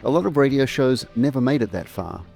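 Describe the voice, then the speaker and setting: A man's voice: medium pitch, expressive, fairly quick, gravelly, mellow. A middle-aged or older man presenting a scripted trailer as its narrator. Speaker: presenter and remastering producer. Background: faint music.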